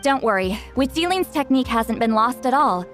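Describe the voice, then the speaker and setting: A woman's voice: a little high, expressive, a little fast, a bit bright, faint music behind. A woman voicing a proud, cool-headed young female shaman in a video game, speaking in a casual, self-assured, slightly haughty way. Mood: confident.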